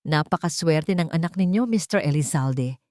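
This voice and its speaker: A woman reads the part of a hospital doctor who is calm and steady. The doctor speaks slowly and reassuringly. The recording is dry and close. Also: low-pitched, no room sound.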